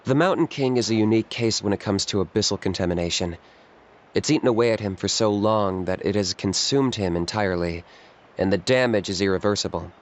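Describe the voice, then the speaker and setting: An actor voicing a blunt young male warrior in a game, talking in a dry, flat, matter-of-fact way. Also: on the low side, fairly quick, slight background noise, natural voice. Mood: calm. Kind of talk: game voice acting.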